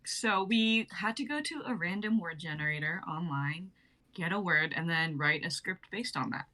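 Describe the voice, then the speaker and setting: A woman in her twenties briskly explaining a game on a casual livestream. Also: lowish voice, lively intonation, bright, forceful tone. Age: 20s.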